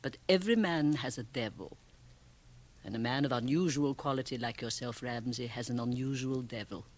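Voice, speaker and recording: Worldly, low-voiced woman. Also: normal speed. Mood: coaxing, provocative. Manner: emphatic, theatrical, probing. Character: shrewd, worldly, provocative Swiss woman, blunt and teasing. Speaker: radio drama actress. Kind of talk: radio drama acting.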